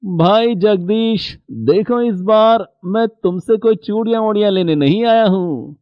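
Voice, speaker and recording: A man's voice: high voice, unhurried, clean tone, dry recording, dull audio. A man voicing a jolly, chatty middle-aged bangle trader in a cartoon story. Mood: cheerful. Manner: hearty, chatty.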